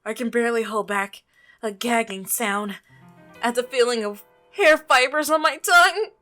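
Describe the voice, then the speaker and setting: A woman's voice: fairly high pitch, normal speed, pushed and bright, soft background music. A woman reading the scripted first-person narration of an uneasy visual novel protagonist.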